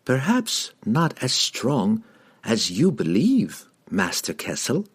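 A man voicing a sly halfling in a fantasy audiobook, feigning meek obedience in measured, persuasive speech. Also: mid-range voice, very animated, husky, pitched above the performer's own voice. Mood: baiting.

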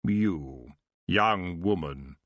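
A man's voice: low voice, lively intonation, slow, fairly bright tone, dry recording, muffled recording, heavily acted. Man voicing a languid, seductive elf king. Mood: lazy, seductive. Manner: languid, drawling, condescending. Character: lazy, lecherous, seductive elf king with horns. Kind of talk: audiobook character voice.

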